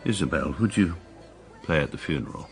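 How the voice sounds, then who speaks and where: An actor in his forties playing a pompous, precise barrister who narrates in a dry, deadpan, wry manner. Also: a bass voice, fairly quick, soft background music. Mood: formal. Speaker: first-person narrator and lead character.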